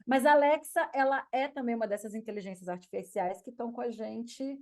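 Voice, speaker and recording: A woman hosting a podcast, chatting and asking her guest questions in a lively, animated way. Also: a little high, a little fast. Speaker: podcast host. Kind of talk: casual interview conversation.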